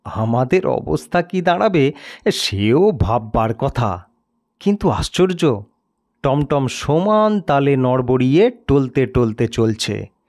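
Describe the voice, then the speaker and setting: Slow, expressive male storyteller. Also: mid-range voice. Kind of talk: dramatic scripted story narration.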